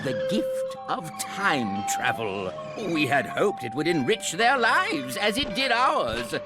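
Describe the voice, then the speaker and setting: A man's voice: on the high side, sing-song, takes it slowly, loud music behind the voice, exaggerated voice. An actor playing a rambling, genial old male scientist who hosts an instructional recording in a game cutscene, full of fillers and chuckles. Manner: bumbling, chuckling, fussy with fillers. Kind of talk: video game cutscene acting. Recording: game score playing behind.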